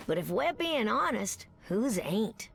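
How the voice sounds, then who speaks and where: An actress playing a sassy, confident Southern American mutant superheroine, trading folksy, drawling banter in a video game. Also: female voice, mid-range voice, big pitch swings, slow pace, gravelly, husky, exaggerated voice. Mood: wry, teasing. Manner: drawling folksy banter, calls people sugar. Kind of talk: video game character dialogue.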